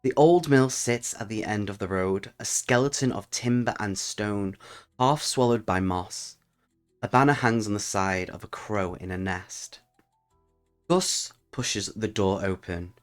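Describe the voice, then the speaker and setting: Low-voiced man telling stories over music. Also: measured pace. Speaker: host of a solo tabletop roleplaying channel. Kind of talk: storytelling narration and casual channel talk.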